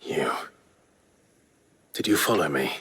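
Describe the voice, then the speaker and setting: An actor playing a grave, reserved wanderer in a video game, speaking tersely and solemnly, at times breathily. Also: male voice, extremely low pitch, expressive, takes it slowly, sharp tone, breathy edge, exaggerated voice. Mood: somber. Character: grave, reserved male fantasy wanderer on an errand. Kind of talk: video game cutscene acting.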